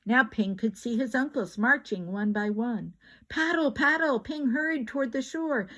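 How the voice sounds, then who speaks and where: An older woman reading a children's picture book aloud like a grandmother, with expressive, drawn-out words and emphatic repetition. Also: fairly high pitch.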